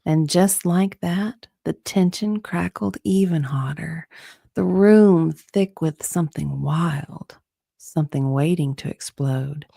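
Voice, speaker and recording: A woman narrating a dramatic romance reading in a breathy way, often dropping to a whisper. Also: sits low, takes it slowly, dark tone, no room sound, studio quality.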